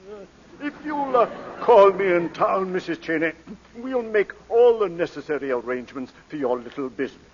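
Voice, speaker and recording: A high-voiced, sputtering English lord. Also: male voice, lively intonation, normal speed. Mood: eager, kindly. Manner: hesitant, stammering, sputtering. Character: flustered, well-meaning English lord, stammering and generous. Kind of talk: 1940s radio acting. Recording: dull vintage radio broadcast recording.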